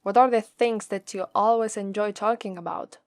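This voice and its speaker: A woman with an Italian accent voicing a gloating, teasing female demon captor in a slow, drawling ASMR roleplay. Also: medium pitch, steady tone, no echo, studio quality, natural voice. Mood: playful, teasing. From Italy. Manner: slow, drawling, taunting, playful menace.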